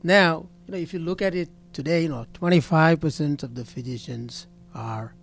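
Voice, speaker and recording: Physician answering in short blunt statements. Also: male voice, on the high side, moderate pace, creaky, a little hiss or hum. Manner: short, blunt statements, often opens with "see". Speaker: physician, medical association president. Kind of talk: interview answers.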